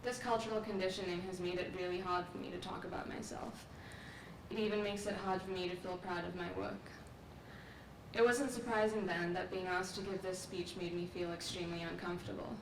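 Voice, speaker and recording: A young woman from Sri Lanka giving a measured, earnest graduate speech at an outdoor commencement through a podium microphone. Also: lowish voice, steady tone. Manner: measured, earnest, reflective delivery. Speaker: graduate student speaker. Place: large outdoor courtyard ceremony. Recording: echoey, distant, with noise.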